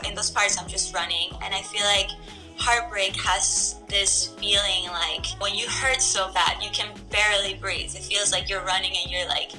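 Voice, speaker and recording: A young woman from Ecuador, a singer, chatting casually to camera in a relaxed way with lots of filler words. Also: medium pitch, moderate pace, faint music behind, rough recording. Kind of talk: casual talk to camera about her song.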